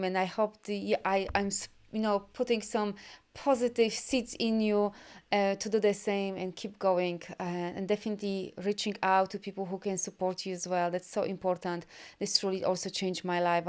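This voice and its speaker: A woman from Poland talks in English, her second language, on a live video as a healing coach. Her voice is smooth and clear at a middle pitch, and she keeps things encouraging while rambling through lots of ums and restarts. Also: a little mellow. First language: Polish. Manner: loose rambling with many fillers and restarts; upbeat and encouraging. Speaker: energy healer and coach. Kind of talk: live video session reading viewer comments.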